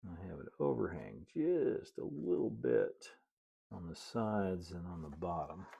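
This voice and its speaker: A man's voice: sits low, sing-song, measured pace, creaky, warm tone, slightly rough. A middle-aged man narrating a hands-on hobby tutorial in a casual, folksy way with chatty asides. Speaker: model railroad builder and video host.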